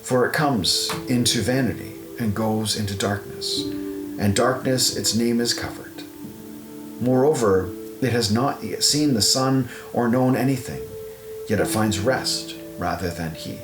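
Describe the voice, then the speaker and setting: Guy reading bible audiobook, solemn and measured, mid pitch, echoey room w music under it. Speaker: audiobook narrator. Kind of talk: scripted scripture reading. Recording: roomy echo, music underneath.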